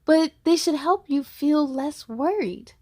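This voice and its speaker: A woman reading the part of a calm, reassuring female children's doctor in a storybook, in a measured way. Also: sits high, dry recording, clean studio sound.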